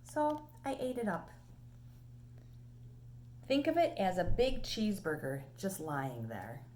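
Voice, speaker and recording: A woman reading a children's storybook as an indignant male wolf telling his side in a conversational way with dramatic pauses. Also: mid-range voice, huge pitch swings, darkish tone, a little hiss or hum. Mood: mock-innocent storytelling. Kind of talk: animated children's storybook read-aloud.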